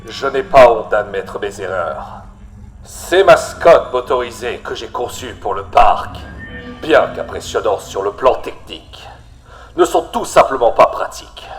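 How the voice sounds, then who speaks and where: A man's voice: mid-range voice, animated, natural voice. Man acting a formal inventor over faint music. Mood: candid, rueful. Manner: formal, measured. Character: candid, proud engineer-inventor admitting his mistakes. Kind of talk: dramatic audio-log monologue in a cartoon fandub.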